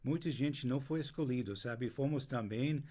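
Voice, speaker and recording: Older American man speaking halting learned Portuguese. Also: medium pitch, dark tone. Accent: American English. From United States. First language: English. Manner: halting, many restarts, searches for words in a second language. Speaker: interview guest. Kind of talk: unscripted interview storytelling.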